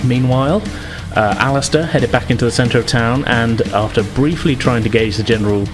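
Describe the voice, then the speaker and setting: A man's voice: mid-range voice, moderate pace, over music. A man hosting a tabletop gaming podcast as game master, talking in an informal, conversational way. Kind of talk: casual podcast intro, recap and game narration.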